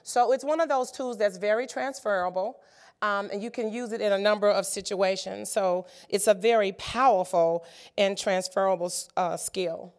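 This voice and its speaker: Woman in her fifties, workshop presenter, slightly raspy from a cold, smooth clear tone, lots of ums and uhs, encouraging. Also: mid-range voice, moderate pace. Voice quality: many filler ums and uhs. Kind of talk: conference workshop talk. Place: conference room.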